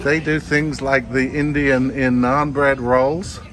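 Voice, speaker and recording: Slow-talking enthusiastic man on a food tour. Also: mid-range voice, level tone. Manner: enthusiastic, exclaiming. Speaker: vlogger touring a food court. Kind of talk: walking food tour narration. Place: outdoor food court. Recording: noisy outdoor street recording.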